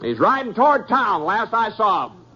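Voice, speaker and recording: A man's voice: sits high, big pitch swings, normal speed, sharp tone, exaggerated voice. An American actor playing a terse, plain-spoken frontier lawman who tells his own story in a 1950s western radio drama. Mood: guarded, calling out. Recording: muffled old radio recording with background hiss.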